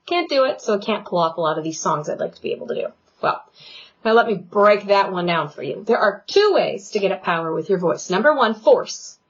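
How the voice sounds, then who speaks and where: A woman vocal coach giving an online singing lesson, talking in a loud, animated and playful way. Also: mid-range voice, a little fast. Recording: dull lo-fi sound.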